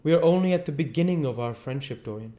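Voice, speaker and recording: An urbane, worldly lord from a storybook , acted out by a male reader. He is pitched well up and speaks with flattering, emphatic persuasion and witty assurance. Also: fast talker, natural voice. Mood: friendly. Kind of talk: storybook dialogue read aloud.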